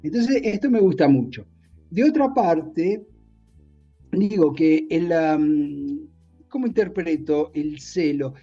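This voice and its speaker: Guest author, middle-aged man, Italian-accented Spanish, mellow and husky, hesitant and reflective, muffled lo-fi sound. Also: fairly high pitch, animated, slow, soft background music. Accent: Spanish with a strong Italian accent. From Argentina. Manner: thoughtful, searching for words with many restarts. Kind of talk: reflective interview conversation.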